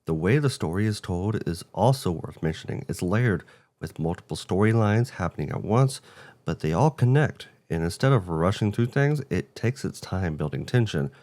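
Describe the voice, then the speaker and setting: A man's voice: deep voice, animated, normal speed, darkish tone. A man co-hosting a video game show, reading a scripted presentation. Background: background music.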